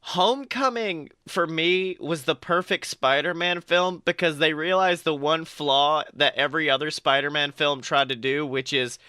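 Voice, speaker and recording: A man's voice: fairly high pitch, normal speed, few pauses, pushed and bright, clear, resonant tone, no room sound, clean studio sound. A man co-hosting a casual pop culture podcast, talking emphatically with strong opinions and free swearing. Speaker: podcast co-host. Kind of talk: casual podcast banter about films and comics.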